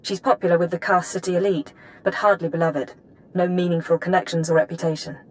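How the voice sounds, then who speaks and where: An actress playing a businesslike intelligence handler in a video game, formal, precise and composed. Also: female voice, lowish voice, steady tone, quick pace, natural voice, poor audio. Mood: businesslike briefing. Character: crisp, businesslike female intelligence handler, coolly in control. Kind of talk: video game voice acting, mission briefing.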